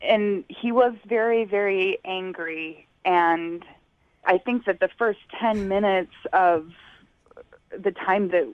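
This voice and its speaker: A woman's voice: mid-range voice, takes it slowly, no room sound. A woman speaking candidly as a guest over the phone, tactful and hesitant, hedging as she recounts things. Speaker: phone-in guest on a radio segment. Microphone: phone call. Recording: muffled, band-limited phone line.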